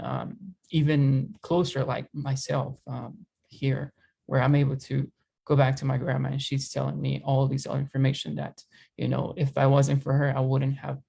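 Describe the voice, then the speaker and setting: A young man co-hosting a podcast discussion, speaking haltingly and searching for words, with many fillers. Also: medium pitch, creaky, mellow, husky. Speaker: podcast co-host and teacher. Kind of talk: casual discussion.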